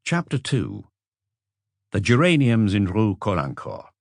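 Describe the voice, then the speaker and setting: A man's voice: lowish voice, wildly swooping pitch, a little slow, no room sound, studio quality. A man reading a mystery novel as a scripted audiobook narration.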